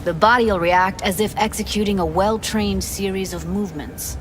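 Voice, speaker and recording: A woman's voice: medium pitch, steady tone, normal speed, soft background music, natural voice. An actress playing a blunt, weary young woman tech rebel in a video game, speaking in terse, matter-of-fact phrases. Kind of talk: cinematic game dialogue.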